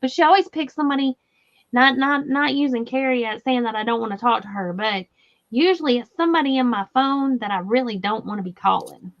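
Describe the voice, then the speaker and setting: Southern woman host, high-pitched, chatty and giggly, slightly dull lo-fi sound. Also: fairly quick. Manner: chatty, laughing, reads viewer comments aloud. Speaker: crochet livestream host. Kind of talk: casual livestream chat.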